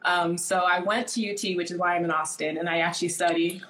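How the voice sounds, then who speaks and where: A woman's voice: fairly low pitch, fairly even intonation, fast talker, fairly bright tone. Chatty young woman in an echoey room. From born in Nigeria, raised in the US. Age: 20s. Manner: chatty, many "like" fillers. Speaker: guest. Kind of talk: casual interview.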